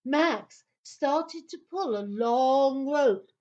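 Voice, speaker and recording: A woman narrating a scripted children's story in an expressive, dramatic way. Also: high voice, very slow, highs cut off.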